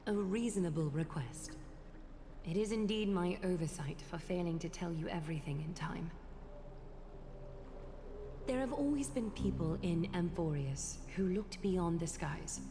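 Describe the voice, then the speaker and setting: A woman voicing a stern, regal female guardian who speaks in a formal, measured way. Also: on the low side, lively intonation, background noise. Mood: solemn. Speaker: guardian judging outsiders. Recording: game audio with reverb and music.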